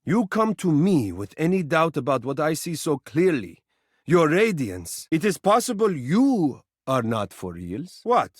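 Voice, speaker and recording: Swooping, gushing, theatrical charmer. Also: male voice, on the high side, big pitch swings, measured pace, slightly rough, no room sound, studio quality, full character voice. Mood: doting, insistent, then puzzled, encouraging. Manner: effusive, dramatic, gushing, doting. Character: flattering, adoring, theatrical living mirror, suave male charmer. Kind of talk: video game character voice acting, romantic.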